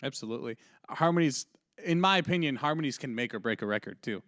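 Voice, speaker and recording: Podcast host: man, mid pitch, smooth clear voice, dry close sound, easygoing. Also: lively intonation, quick pace, fairly bright tone, no room sound. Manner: easygoing, agreeable, laughs often. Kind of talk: casual chat.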